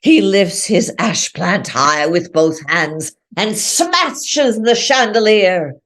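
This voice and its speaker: A woman's voice: on the low side, a bit bright. A woman giving a dramatic reading of a novel, with a theatrical delivery that voices each character. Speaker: reader.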